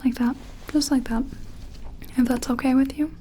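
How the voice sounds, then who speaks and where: A woman playing a gentle, curious scientist in an ASMR roleplay, speaking softly and tentatively in a breathy, often whispery, soothing voice. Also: high voice, fairly even intonation, slight background noise, lightly acted. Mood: gentle, focused. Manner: soothing, tentative, apologetic, hesitant pauses. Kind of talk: soft-spoken ASMR roleplay.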